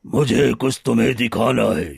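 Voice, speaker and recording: A man voicing a proud, wounded lion in a cartoon story, speaking solemnly and deliberately. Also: medium pitch, steady tone, vocal fry, husky, no echo, studio quality, full character voice. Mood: solemn, reproachful. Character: proud, wounded male lion, loyal friend turned stern. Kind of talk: scripted Hindi cartoon story dialogue. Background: background music.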